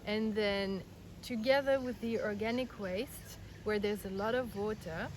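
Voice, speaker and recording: A woman in her thirties from Belgium, raised in France, calmly explaining her views in an interview, earnest and step by step with frequent restarts. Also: mid-range voice, a little slow. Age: 30s. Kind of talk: casual explanatory interview answers. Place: outdoors in India. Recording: outdoor recording with clear background noise.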